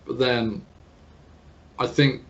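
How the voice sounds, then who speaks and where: A man's voice: mid-range voice, steady tone, very slow, dull audio. A man with an Australian accent, interviewing in a casual, chatty and opinionated way.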